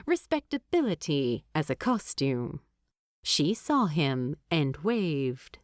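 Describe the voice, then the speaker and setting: A woman reading scripted story narration as an audiobook narrator, expressive and deliberate. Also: deep voice, dry recording, clean studio sound.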